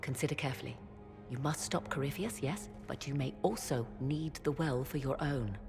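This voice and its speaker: An actress playing a cool, sardonic female witch scholar who speaks in a measured, dry, aloof way. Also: extremely low pitch, gravelly. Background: faint music.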